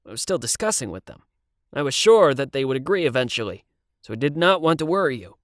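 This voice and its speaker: A man's voice: mid-range voice, sing-song, quick pace, no room sound, studio quality, voice pushed up, lightly acted. A man reading aloud and voicing a nervous, evasive male TV producer. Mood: wheedling.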